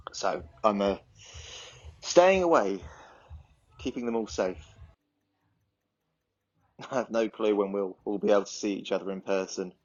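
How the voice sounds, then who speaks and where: A slightly dull recording carries a low-voiced father played by a male actor. He is weary and missing his family. He speaks slowly and haltingly, with sighs, as if confiding in a diary. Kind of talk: monologue as a spoken diary entry.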